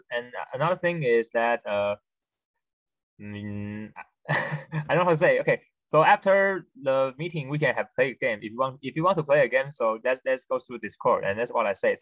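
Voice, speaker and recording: A young man, a club member, making halting, hesitant casual remarks over a video call. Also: medium pitch, expressive, fairly bright tone, no room sound. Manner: hesitant, restarting often. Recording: dull dry video call audio.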